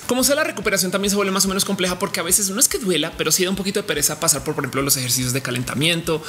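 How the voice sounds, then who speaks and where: A woman in her thirties from Colombia, talking to camera in a candid personal vlog with an expressive, chatty manner and playful asides. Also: low-pitched, a little hiss or hum. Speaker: vlogger. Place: indoor home studio room with shelves.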